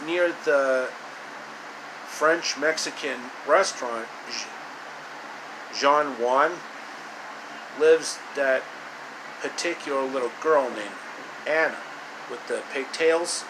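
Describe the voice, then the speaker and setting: Slow, halting male storyteller, noisy room. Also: a little high. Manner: halting, repeats phrases. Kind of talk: improvised storytelling narration. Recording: loud steady room hum and hiss.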